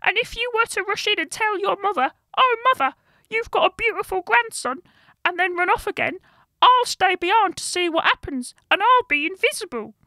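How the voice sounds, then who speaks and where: Woman doing a squeaky, high little brownie sprite. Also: lively intonation, normal speed, pushed and bright, no echo, heavily acted. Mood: eager, scheming. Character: small eager brownie sprite, helpful and scheming.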